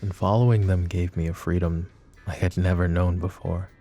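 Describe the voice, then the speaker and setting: Mafia boss, played by a male actor: very low, dark, coaxing and possessive, for intimate ASMR roleplay. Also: flat delivery, faint music behind. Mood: brooding, commanding. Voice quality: low, gravelly murmur. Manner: deliberate, coaxing, philosophizing.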